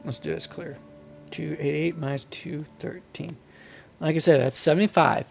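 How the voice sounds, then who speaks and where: A man's voice: a little high, moderate pace, creaky, a little mellow, soft background music. A man doing casual livestream game commentary, thinking aloud and muttering to himself in a folksy, slangy way. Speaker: game streamer.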